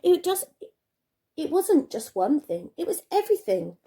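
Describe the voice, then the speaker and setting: A woman reading a children's storybook aloud like a primary teacher, expressively, with dramatic pauses and emphasis. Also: sits high, big pitch swings, dark tone.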